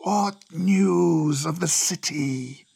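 Old male cobra, storybook villain, very slow drawl, raised pitch, proud, menacing, ominous. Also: gravelly, darkish tone, husky, heavily acted. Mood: haughty, suspicious. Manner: slow, drawn-out, ominous delivery. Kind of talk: storybook character voice.

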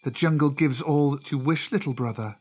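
A man voicing a sly, shrewd old male python in a storybook, with measured, deliberate speech. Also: fairly high pitch, close to an everyday voice. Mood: sly, tempting. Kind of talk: storybook character voice.

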